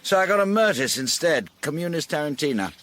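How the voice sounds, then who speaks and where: Hesitant middle-aged British detective dad. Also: male voice, a little high, big pitch swings, a little fast, fairly bright tone. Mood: matter-of-fact. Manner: hesitant, stammering. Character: reluctant, sceptical father, gardener and private detective. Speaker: actor in lead role. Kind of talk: BBC radio drama acting.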